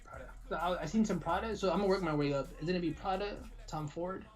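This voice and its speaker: A man co-hosting a podcast, talking fast in slangy banter and often repeating or restarting his words. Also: sits high. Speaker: podcast co-host. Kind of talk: casual banter.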